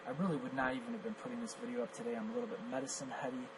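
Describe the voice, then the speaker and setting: A hoarse man who has lost his voice, analyzing poker hands in a self-critical, think-aloud way as a coach reviewing his own match. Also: medium pitch, very animated, very fast, noisy background. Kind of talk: screen-recorded hand review commentary.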